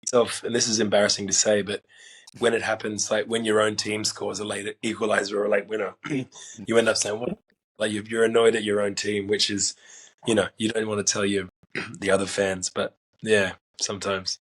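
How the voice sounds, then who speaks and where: A man guest on a football podcast, hoarse after a night of singing, talking casually and clearing his throat. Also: on the low side, little pitch movement, brisk, poor audio.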